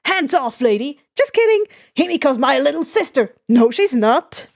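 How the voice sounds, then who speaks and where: A woman voicing an excitable male villain in a story, blurting things and abruptly contradicting himself. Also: sits high, moderate pace, gravelly, exaggerated voice. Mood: protective, erratic.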